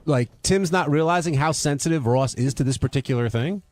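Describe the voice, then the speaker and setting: A man's voice: fairly high pitch, fairly quick, a little mellow. A man hosting a radio call-in show, moderating the discussion. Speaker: radio wrap-up show host.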